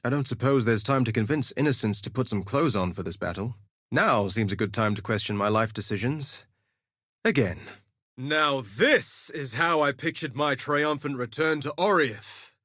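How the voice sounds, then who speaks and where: An actor playing a vain, flirtatious gladiator duelist in a video game, with a theatrical, suave and sardonic delivery. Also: male voice, mid-range voice, expressive, moderate pace, fairly bright tone, dry recording, clean studio sound. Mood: cocky, theatrical. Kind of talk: scripted game voice lines, theatrical quips.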